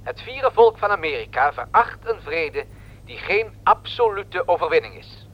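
A man's voice: high voice, sing-song, normal speed. A man playing a solemn radio news correspondent who formally declaims political statements, in a dull radio recording. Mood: solemn, rousing. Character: solemn male New York radio correspondent quoting the president's rousing speech.

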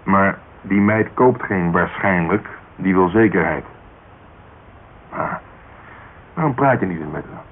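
Deep-voiced, cynical police detective. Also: male voice, lively intonation, a little slow, pauses often. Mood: wry, persuasive. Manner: wry, colloquial, offhand. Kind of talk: Dutch radio drama dialogue. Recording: dull, hissy old radio recording.

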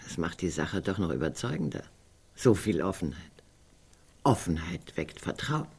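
An actress playing a sardonic, provocative sister in a German radio drama, theatrical and husky. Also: female voice, rumbling low, big pitch swings, moderate pace, a little mellow, full character voice. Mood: sly. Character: Greta, sardonic, provocative sister of a squabbling family.

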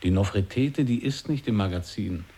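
A man's voice: lowish voice, moderate pace, dark tone, slightly rough. An actor playing a dry, boastful man who tells absurd tales in colloquial, matter-of-fact dialogue for a radio play. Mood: explaining calmly.

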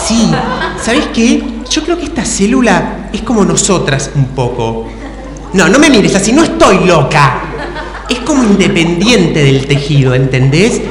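A man with an Argentine accent playing an excitable, chatty young woman lab worker in improv theatre comedy. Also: high voice, measured pace, a crowd in the background, pitched above the performer's own voice. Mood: excited, conspiratorial. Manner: chatty, effusive, teasing. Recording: echoey hall with audience, off-mic.

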